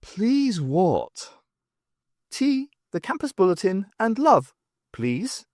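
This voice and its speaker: A man's voice: sits high, sing-song, takes it slowly, a little mellow, no room sound, studio quality, voice pushed up, exaggerated voice. A man voicing a bleary, sardonic female college rector in an audiobook, with a weary, informal manner. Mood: bleary, grumpy. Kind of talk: audiobook character voice.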